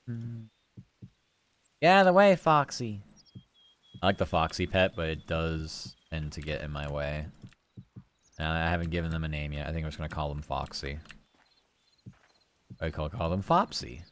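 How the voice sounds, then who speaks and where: A man's voice: very deep voice, moderate pace, long pauses, fairly bright tone. A man livestreaming gameplay, chatting casually with viewers in a cozy, easygoing voice, musing aloud and trailing through his thoughts. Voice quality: comfy, cozy tone.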